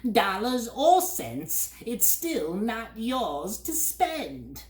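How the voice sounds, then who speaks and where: A woman voicing a dirty, haughty male bird who snatches words, with a shrill, drawn-out, cackling voice. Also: sing-song, roomy sound.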